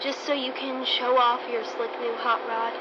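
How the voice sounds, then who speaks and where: A woman's voice: a little high, little pitch movement, moderate pace, dull audio, natural voice. An actress playing a frightened, breathless teenage girlfriend in an old-time radio drama. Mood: nagging, suspicious. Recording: band-limited vintage radio sound, noisy effects.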